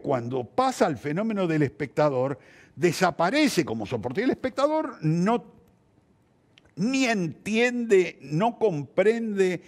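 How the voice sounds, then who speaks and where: An older man with an Argentine Spanish accent reflecting in an interview, repeating and rephrasing for emphasis. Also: medium pitch. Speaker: interviewed theatre director and teacher.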